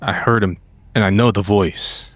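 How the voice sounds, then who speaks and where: A man's voice: fairly low pitch, fairly even intonation, normal speed, no room sound. A man playing a tabletop roleplaying game, improvising casually in character.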